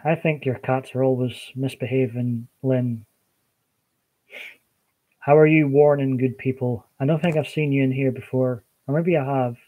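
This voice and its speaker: A Scottish man hosting a casual livestream, chatting with viewers and answering their comments in a relaxed, meandering way. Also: mid-range voice, moderate pace, narrow, tinny audio. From Scotland. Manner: chatty, relaxed, with hesitant restarts. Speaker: livestream host.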